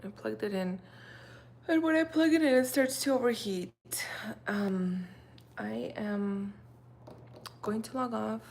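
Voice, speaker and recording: A woman's voice: fairly high pitch, takes it slowly, gravelly, pauses often, a little mellow. A young woman, a native Russian speaker, chatting casually on a livestream, rambling with lots of fillers. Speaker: livestream host. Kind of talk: casual livestream chat with viewers. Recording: faint background noise.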